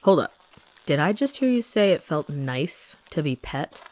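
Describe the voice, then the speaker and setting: Casting: actress as guilt-ridden young huntress, low voice, soft confiding delivery, gentle rebel, audio roleplay. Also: female voice, sing-song, unhurried, rough recording. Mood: calm, teasing. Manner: soft, confiding, addressing the listener directly. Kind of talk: intimate roleplay audio drama acting.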